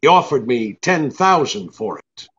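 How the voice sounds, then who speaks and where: A man's voice: mid-range voice, sing-song, a little slow, a bit bright, breathy edge, dull audio, heavily acted. Man playing a brusque hardboiled detective, swooping delivery. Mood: cool. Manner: brusque, sardonic. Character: tough, wisecracking, impatient male private detective. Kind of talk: hardboiled radio drama acting with narration.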